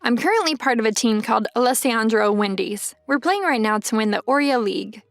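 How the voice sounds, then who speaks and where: A woman's voice: fairly high pitch, lively intonation, brisk, faint music behind. A woman playing a very tall, insecure young woman turned pro basketball player, narrating her own story in an anime-style dub. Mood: reflective.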